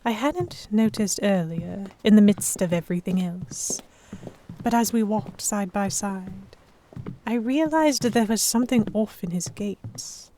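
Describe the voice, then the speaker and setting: A woman's voice: medium pitch, a little slow, warm tone, a little hiss or hum, natural voice. A woman playing an earnest, emotive noblewoman heroine who narrates an audio drama in the first person. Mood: observant, uneasy. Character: delicate young noblewoman, vexed, earnest, stubborn romantic heroine.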